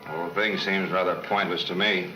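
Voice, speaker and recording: An actor playing a tough, shrewd detective with a terse, hard-boiled manner in a 1940s film serial. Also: male voice, sits low, steady tone, fairly quick, natural voice, rough recording. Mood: defiant. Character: tough, shrewd male police detective, steady under threat. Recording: old 1940s film soundtrack with music.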